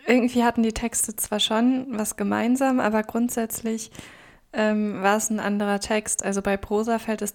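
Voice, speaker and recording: A woman's voice: mid-range voice, vocal fry. A young woman, raised bilingual in German and Russian, co-hosting a podcast in a thoughtful, casual way with many hesitant fillers. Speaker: podcast co-host. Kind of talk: casual conversation.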